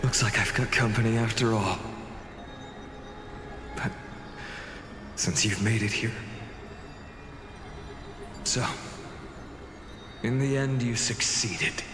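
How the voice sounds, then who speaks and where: A man's voice: medium pitch, natural voice. Solemn, weary warrior man acting, long reverb. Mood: grim. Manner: grave, deliberate. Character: weary, solemn male warrior on a tragic quest. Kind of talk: dramatic game voice acting. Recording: long echoing reverb over music.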